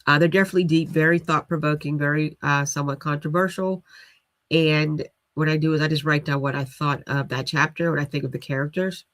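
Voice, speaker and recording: A man's voice: on the high side, fairly even intonation, a little fast, fairly bright tone, no room sound. A man with a nasal voice hosting a casual livestream, rambling with many fillers and restarts. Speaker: livestream host.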